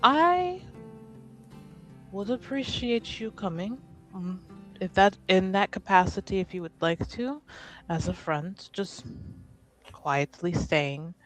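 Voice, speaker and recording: Tabletop fantasy noblewoman, acted by a woman, polite but commanding, slow delivery, light music underneath. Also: medium pitch, animated, a bit bright, low-quality recording. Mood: gracious, careful. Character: composed noblewoman giving orders and discreet offers.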